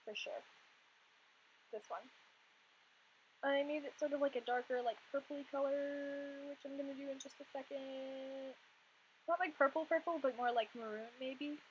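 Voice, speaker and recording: A young woman in her twenties, slightly hoarse from losing her voice, chatting casually over gameplay in a rambling, filler-heavy way. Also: high voice, fairly even intonation, moderate pace, pauses often, highs cut off, slight background noise. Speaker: Sims content creator.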